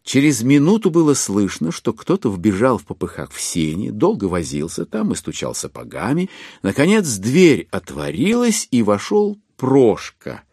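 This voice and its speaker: A man narrating a literary audio drama in a measured, expressive storytelling manner. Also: medium pitch, husky, no echo, clean studio sound. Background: music.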